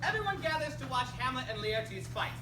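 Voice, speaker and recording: A woman's voice: high voice, sing-song, brisk. A woman narrating a comic school stage play from a script to a live audience, with wry, theatrical asides. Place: indoor live performance, distant microphone. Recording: echoey, noisy room recorded from far off.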